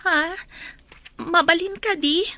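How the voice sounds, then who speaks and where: A woman's voice: very high-pitched, fairly even intonation, slow, pushed and bright, exaggerated voice. An actress playing a troubled, humble young woman in a radio drama, plaintive and earnest, with an Ilocano accent. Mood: polite, grateful.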